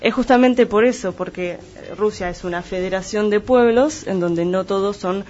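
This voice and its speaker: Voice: medium pitch, normal speed, a little mellow, highs cut off. A speaker from Argentina with an Argentine Spanish accent, explaining things step by step in a conversational analysis. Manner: didactic, clarifying terms step by step.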